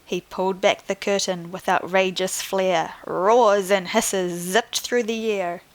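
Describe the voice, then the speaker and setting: Slow, deliberate woman reading a storybook. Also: medium pitch, steady tone, low-quality recording. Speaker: primary school teacher. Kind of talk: scripted storybook narration for young children.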